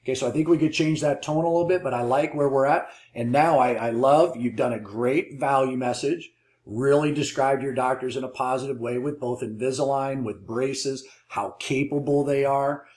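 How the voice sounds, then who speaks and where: Man, call coach, encouraging feedback, medium pitch, echoey room sound. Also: darkish tone. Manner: encouraging, affirming. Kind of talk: coaching commentary on a recorded call.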